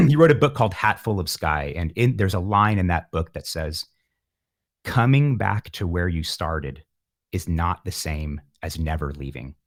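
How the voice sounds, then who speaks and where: A man in middle age with a low-pitched voice, speaking as a musician being interviewed. He reflects philosophically and thinks aloud, with a wry streak to his delivery. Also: very animated, measured pace. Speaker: musician, podcast guest.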